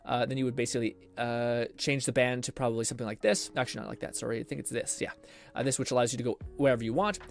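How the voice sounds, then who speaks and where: A man's voice: medium pitch, very fast, creaky. A man live streaming, explaining things in a casual, rambling way with self-deprecating swearing. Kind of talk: casual rambling stream talk with tutorial advice.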